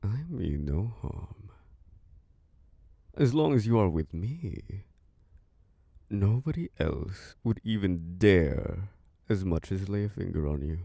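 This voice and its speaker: A man plays an ancient vampire lord in an ASMR audio drama, charming and possessive. The voice is very low and gravelly, with a dark tone. The delivery is slow and velvety, swinging widely in pitch, recorded close and dry. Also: expressive, no echo, heavily acted. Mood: seductive. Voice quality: deep, gravelly. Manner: slow, velvety and flirtatious, with soft laughs.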